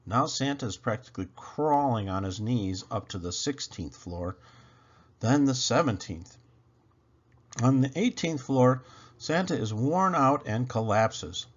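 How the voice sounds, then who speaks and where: Guy reading a kids story out loud, super expressive, takes his time, kinda dull recording. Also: mid-range voice, measured pace. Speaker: children's storybook reader. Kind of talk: animated read-aloud narration.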